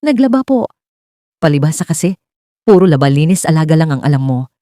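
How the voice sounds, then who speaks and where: A woman voicing a sharp-tongued, bitter older mother-in-law in a dramatic story, scolding and mocking. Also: deep voice, very animated, brisk, no room sound, clean studio sound. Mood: mocking, irritated. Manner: nagging, shouting, mocking.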